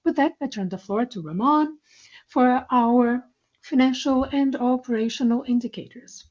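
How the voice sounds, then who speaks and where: A woman delivering a scripted corporate financial presentation in a formal, measured manner. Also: a little high, a little mellow. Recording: dull, lo-fi conference call audio.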